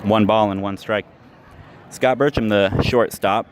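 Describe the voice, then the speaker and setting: A man with a fairly low voice calls a baseball game for radio, working through counts and stats in a steady, measured way. The live recording carries clear ballpark noise from the stands and the street outside. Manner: steady, measured call with stats between pitches, rising sharply on big plays. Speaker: play-by-play announcer. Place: outdoor ballpark.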